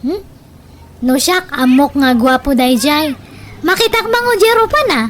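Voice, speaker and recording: A woman with an Ilocano accent playing a feisty, stubborn, lovestruck young village woman in a comedy radio drama, animated and emphatic. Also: sits high, moderate pace, a little hiss or hum. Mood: smitten, defensive.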